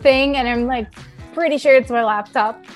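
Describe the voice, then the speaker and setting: A young woman from Thailand talks as a podcast guest, chatty and quick to joke at her own expense. Her voice sits fairly high. Faint music plays underneath. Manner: chatty, self-deprecating humor. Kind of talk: casual podcast conversation.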